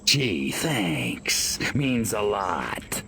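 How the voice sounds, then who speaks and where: An actor voicing a menacing, sardonic alien invader in a video game, with a drawling delivery. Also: male voice, fairly low pitch, sing-song, extremely slow, a bit bright, a little hiss or hum, full character voice. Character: gruff wisecracking menacing male alien invader.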